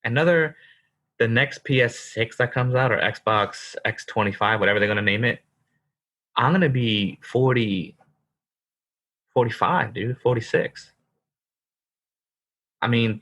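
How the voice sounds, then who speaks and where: A man's voice: medium pitch, fairly bright tone. A man in his thirties co-hosting a casual podcast, emphatic and calling his co-host bro. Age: 30s. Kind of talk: casual chat.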